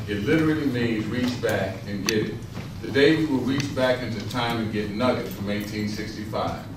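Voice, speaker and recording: A man's voice: fairly low pitch, normal speed. A man reading scripted historical narration aloud as a narrator in a readers theater. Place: stage rehearsal in a large echoing room. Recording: distant, echoey hall, clear noise.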